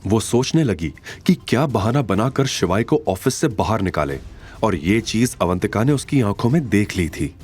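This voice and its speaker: A man's voice: fairly low pitch, animated, moderate pace, darkish tone, slightly rough. A man giving dramatic scripted narration as the narrator of an audio story.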